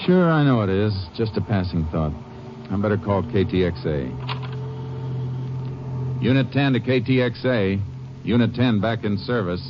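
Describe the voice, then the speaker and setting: An actor playing a shrewd, terse Texas Ranger in a 1950s radio drama, speaking matter-of-factly. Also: male voice, fairly low pitch, animated, moderate pace, pauses often, noisy background, lightly acted. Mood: businesslike. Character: steady, shrewd Texas Ranger investigator, adult man. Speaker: lead actor and narrator. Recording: muffled vintage radio recording.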